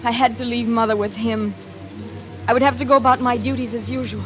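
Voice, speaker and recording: Actress as anxious trapped daughter, music behind. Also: female voice, fairly high pitch, fairly even intonation, lightly acted. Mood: fearful. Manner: tense, halting when flustered, dramatic. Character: anxious, trapped daughter narrating her ordeal in suspense drama. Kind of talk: 1940s radio acting, first-person suspense narration. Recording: vintage radio drama with music behind.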